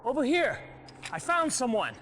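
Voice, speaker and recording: A man's voice: extremely high pitch, very animated, moderate pace, fairly bright tone, slight background noise, heavily acted. An actor playing a nervous young male soldier in a game cutscene who is eager and deferential. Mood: excited. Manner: eager, then flustered and deferential.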